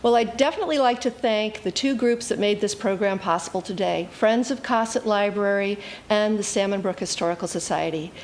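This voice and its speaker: A woman's voice: mid-range voice, fairly quick, few pauses. A woman hosting an interview program in a prepared, organized style, asking questions and giving thanks. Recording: echoey room with background noise.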